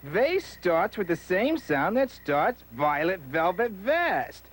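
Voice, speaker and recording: A man's voice: high-pitched, very animated, exaggerated voice. An actor playing a boastful but cautious villainous vandal with a folksy wise-guy manner in a children's educational show. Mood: careful, friendly. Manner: folksy streetwise wise guy, drawn-out phrasing.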